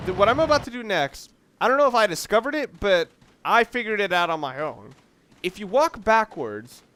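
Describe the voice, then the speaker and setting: A man's voice: sits high, a bit bright, a little hiss or hum. A man doing casual, unscripted game commentary, thinking aloud in a rambling way and often correcting himself. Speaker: let's player.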